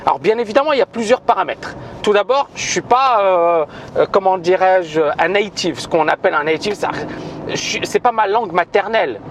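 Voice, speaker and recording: A man's voice: sits high, animated, brisk, a little hiss or hum. A man who founded a trading school makes an emphatic video announcement, repeating himself and hesitating often. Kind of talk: spoken video announcement to viewers.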